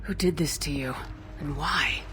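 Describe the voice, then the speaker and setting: An actress playing a resolute space military commander in a video game, direct and businesslike. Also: female voice, deep voice, unhurried. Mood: concerned. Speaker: game protagonist. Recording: game soundtrack music behind.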